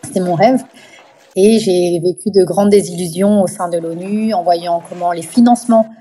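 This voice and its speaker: A woman's voice: lowish voice, fairly even intonation, normal speed, warm tone, slightly rough. A woman from the Paris suburbs, giving earnest, emphatic interview testimony as an activist. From France, Paris suburbs. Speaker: NGO founder, activist, interview guest.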